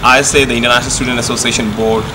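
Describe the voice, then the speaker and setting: Young man from Bangladesh, student leader, fast even delivery, noisy outdoor take. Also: mid-range voice. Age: young adult. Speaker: student association president. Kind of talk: casual welcome message. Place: outdoors on a foggy campus. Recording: background noise.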